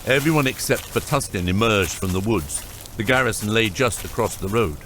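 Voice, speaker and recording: A man's voice: fairly low pitch, lively intonation, fairly quick, a bit bright, a little hiss or hum. A man reading scripted narration for an epic fantasy audiobook.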